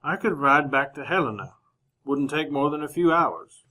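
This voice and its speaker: A man playing a composed, honorable gentleman newspaperman in a re-created old-time radio western, speaking formally and with measured politeness. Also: on the high side, animated. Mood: quiet, composed. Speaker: actor narrating as lead character.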